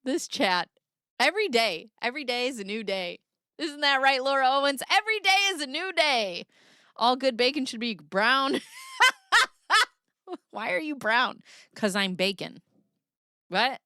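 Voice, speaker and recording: A woman's voice: high voice, animated, a little slow, long pauses, pushed and bright, smooth, no room sound, clean studio sound. A young woman with a Midwestern accent hosting a casual livestream, reading her chat aloud, laughing often and swearing freely. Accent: Midwestern American. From American Midwest.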